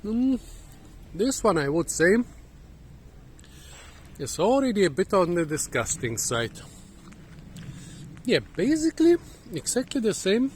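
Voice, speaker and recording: A hoarse man, musing and halting as he thinks aloud while hosting a casual fruit-tasting vlog outdoors in a garden, recorded on a phone. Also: fairly high pitch, slight background noise. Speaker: fruit channel host. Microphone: phone camera.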